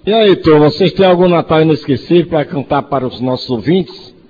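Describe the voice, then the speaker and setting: A man's voice: fairly high pitch, lively intonation, a little fast, breathy edge. A 66-year-old Brazilian man presenting a community radio talk show. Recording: dull lo-fi audio, faint noise.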